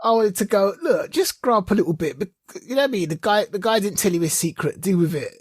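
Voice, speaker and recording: A man's voice: high voice, expressive, quick pace, breathy edge, no room sound, studio quality. A man co-hosting a podcast, giving opinions in casual, emphatic conversation. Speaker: podcast host. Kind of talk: casual chat, opinionated review.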